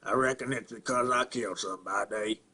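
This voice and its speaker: A man acting a simple-minded rural Southern man in a halting, plainspoken dramatic film monologue, with a throaty rasp and grunts. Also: on the low side, bright, forceful tone, exaggerated voice. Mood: flat, matter-of-fact. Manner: halting, repetitive, plainspoken, folksy.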